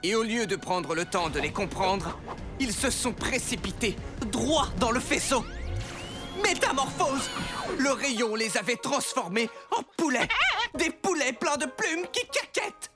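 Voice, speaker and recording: A man playing a wise, cautious teacher at a superhero academy in a children's cartoon, instructive and expressive. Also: very high voice, a little slow, over loud music. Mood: storytelling.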